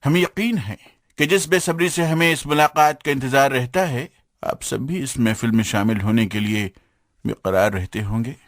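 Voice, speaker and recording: A man's voice: medium pitch, moderate pace, no room sound, clean studio sound. A man with an Indian accent presenting a radio show in scripted, flowery phrasing.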